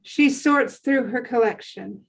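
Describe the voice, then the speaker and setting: A woman's voice: fairly high pitch, a little slow, creaky. A woman reading stage directions as scripted narration at a drama table read.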